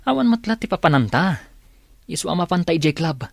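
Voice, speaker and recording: A man's voice: a little high, expressive, a little fast, vocal fry, dry recording. A man playing a grumbling small-time crook eager for easy money in an old radio drama. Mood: restless, grumbling.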